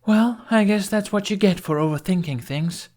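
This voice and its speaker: Guy doing a fiery young viking warrior woman for an audiobook, high-pitched, indignant, accusing and emphatic. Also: moderate pace, darkish tone, close to an everyday voice. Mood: defensive, challenging. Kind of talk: audiobook character acting.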